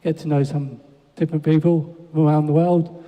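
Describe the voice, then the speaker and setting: A man in his twenties from England, hesitantly telling his life story at church, soft-spoken with many fillers. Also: on the high side, flat delivery, moderate pace, highs cut off. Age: 20s. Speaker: church member interviewed. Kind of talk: hesitant personal testimony. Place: church hall. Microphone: handheld microphone over PA. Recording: hall reverb from church PA.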